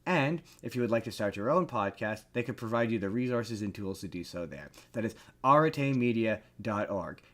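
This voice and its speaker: Bright, animated male podcast host. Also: fairly low pitch, normal speed, few pauses. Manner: animated, repeats phrases for comic emphasis. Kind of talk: casual comedic chat about pop culture.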